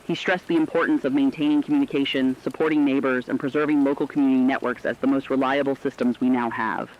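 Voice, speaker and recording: Lo-fi dull radio sound, male actor as news anchor, medium pitch, solemn, measured, formal scripted bulletin. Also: steady tone, lightly acted. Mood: solemn, earnest.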